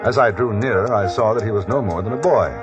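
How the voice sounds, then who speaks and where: An actor playing a courteous, worldly English gentleman reporter in a western radio drama, with a British accent. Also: on the low side, quick pace, close to an everyday voice. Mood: measured. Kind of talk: 1950s radio drama acting and narration. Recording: dull vintage 1950s radio recording, faint music.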